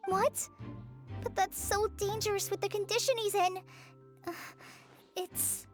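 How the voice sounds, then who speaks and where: A woman's voice: fairly even intonation, unhurried, soft background music. A woman voicing a small, earnest, childlike fairy companion in an anime game. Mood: concerned. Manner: squeaky, childlike.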